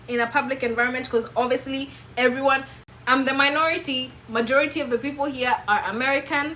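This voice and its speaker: Bright-voiced Kenyan woman vlogger. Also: a little high, a little hiss or hum, rough recording. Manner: expressive, emphatic, preachy storytelling. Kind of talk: personal storytelling to camera.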